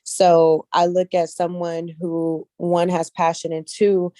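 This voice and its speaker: A woman's voice: lowish voice, little pitch movement, takes it slowly, warm tone, dry recording. A woman speaking casually and earnestly as an interview guest, often repeating phrases for emphasis. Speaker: guest casting director, actress and model.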